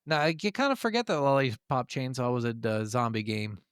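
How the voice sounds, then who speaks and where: A man's voice: mid-range voice, big pitch swings, fairly quick, clear, resonant tone, no room sound, studio quality. A man co-hosting a casual gaming podcast, speaking informally and emphatically with plenty of swearing. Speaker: podcast co-host.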